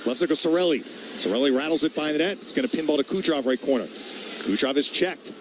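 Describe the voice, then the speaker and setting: A man's voice: on the high side, quick pace. A man doing live radio hockey play-by-play in clipped, short phrases that name players as the action moves. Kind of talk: live play-by-play sports commentary. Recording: noisy arena ambience behind the voice.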